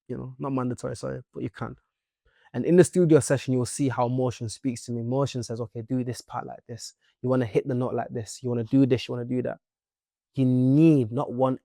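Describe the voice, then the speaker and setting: Young Leeds man, gravelly, dry close sound, playful and chatty interview guest. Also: mid-range voice, warm tone, no echo. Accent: Leeds English. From Leeds, England. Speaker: musician guest. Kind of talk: casual interview chat.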